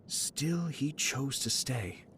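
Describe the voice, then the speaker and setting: A man voicing a composed, subtly sly young noble clan head in a video game, speaking in a measured, formal, polite way. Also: medium pitch, fairly bright tone, slight background noise. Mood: confiding, calm. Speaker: game character voice. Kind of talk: scripted video game character monologue. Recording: studio voice with music behind. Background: game music.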